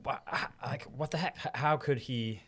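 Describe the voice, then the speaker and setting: A man co-hosting a podcast, speaking in an expressive, informal way with a theatrical streak. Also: medium pitch, normal speed, breathy edge. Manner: slangy, expressive, theatrical when reading. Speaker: podcast co-host. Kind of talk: casual podcast chat with animated Bible reading.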